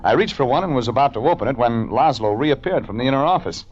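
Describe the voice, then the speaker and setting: A man playing a laconic, wry private detective in a 1940s radio drama, terse and deadpan. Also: mid-range voice, fast talker, a little hiss or hum, close to an everyday voice. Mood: deadpan narrating. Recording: muffled vintage radio recording, music behind.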